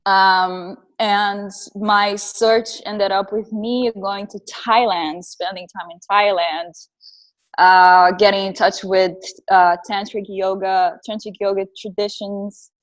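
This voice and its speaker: A woman's voice: mid-range voice, little pitch movement, takes it slowly, dull audio. A woman from Brazil with a Brazilian Portuguese accent, speaking as a podcast guest and earnestly recounting her personal journey. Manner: earnest and reflective. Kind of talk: casual interview, personal storytelling.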